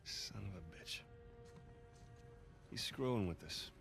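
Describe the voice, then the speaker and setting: A man's voice: on the low side, gravelly, music in the background. An actor playing a wry, sarcastic male adventurer in a video game cutscene. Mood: exasperated. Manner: sarcastic, quick quips.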